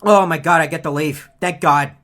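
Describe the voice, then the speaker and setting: A low-pitched woman acting as a snarky game bird. The delivery is deadpan and sarcastic, full of mockery. This lazy, greedy heckler taunts like a bored cartoon sidekick. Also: normal speed. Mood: exasperated teasing. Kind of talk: scripted video game character taunts.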